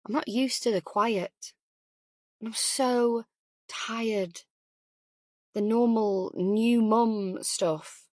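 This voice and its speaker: A frayed new mother in an audiobook, voiced by a woman narrator, weary and a little defensive. She keeps herself measured, in a fairly high voice with no room sound. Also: fairly even intonation, darkish tone, dry recording, clean studio sound. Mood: soft, weary. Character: tired, guarded new mother, adult daughter, emotionally frayed. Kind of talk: audiobook character dialogue.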